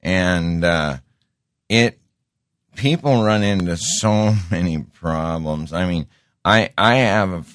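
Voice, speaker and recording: A very deep-voiced middle-aged man hosts his show in a slow, unhurried ramble, circling back and repeating words as he interrupts himself. The sound is close and dry, with no room around it. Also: fairly bright tone, dry recording. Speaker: show host. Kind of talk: casual monologue.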